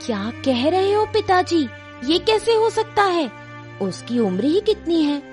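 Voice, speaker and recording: A woman's voice: extremely high pitch, big pitch swings, measured pace, darkish tone, soft background music, voice pushed up, exaggerated voice. A woman voicing a vain, jealous young daughter of a village rich man in a cartoon, haughty, sly and falsely sweet. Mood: indignant.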